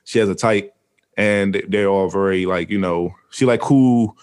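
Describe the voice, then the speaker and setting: A young adult man co-hosting a podcast in casual chat, animated and rambling, laughing often. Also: sits low, a little slow, smooth. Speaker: podcast co-host.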